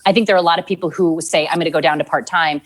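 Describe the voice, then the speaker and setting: A woman's voice: deep voice, racing. A woman in her forties, a TV news anchor, talking casually in an interview and acting out remembered exchanges as she tells her story. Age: 40s. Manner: lively storytelling with acted-out quotes, frequent laughs. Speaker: TV news anchor, podcast guest.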